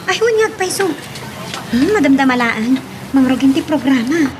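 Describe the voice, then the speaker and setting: A woman's voice: high voice, lively intonation, normal speed, background noise. A woman playing a cheerful young restaurant owner in an Ilocano radio drama, with a Filipino accent. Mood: chatty, excited.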